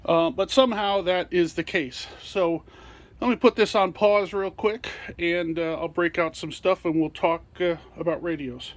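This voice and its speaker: Casting: male product presenter, notably high-pitched, informal hands-on walkthrough delivery. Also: moderate pace. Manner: hands-on explaining while handling items.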